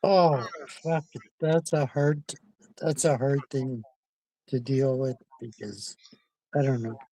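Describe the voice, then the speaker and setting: A man in a rambling, casual livestream chat, haltingly repeating words and phrases and swearing casually. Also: medium pitch, fairly even intonation, warm tone, no echo, poor audio. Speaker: livestream participant reading out chat.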